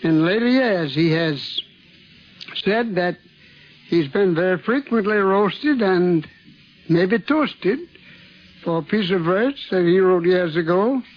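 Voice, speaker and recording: An elderly man with a Southern US accent, a retired professor reminiscing in a deliberate, formal, old-fashioned manner. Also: high voice, expressive. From Mississippi, United States. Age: 70s or older. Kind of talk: reminiscing in a recorded interview. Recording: dull 1950s tape, crowd noise behind.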